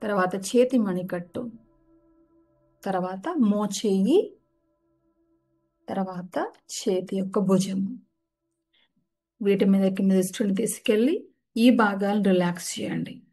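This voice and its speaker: A woman gently guides a relaxation meditation, giving unhurried step-by-step instructions. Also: mid-range voice. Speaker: yoga nidra guide. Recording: music behind the voice. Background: background music.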